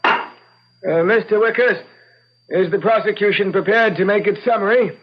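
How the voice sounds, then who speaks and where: An actor playing a measured, formal trial judge who questions counsel in an old-time radio drama. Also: male voice, sits high. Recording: dull vintage radio broadcast recording.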